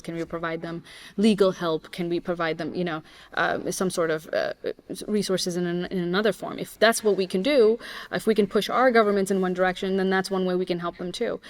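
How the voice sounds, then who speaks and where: A woman on a university panel speaks earnestly in a slightly low voice. She reasons aloud, stacking up questions as she works through her point. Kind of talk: panel discussion answer. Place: university lecture hall.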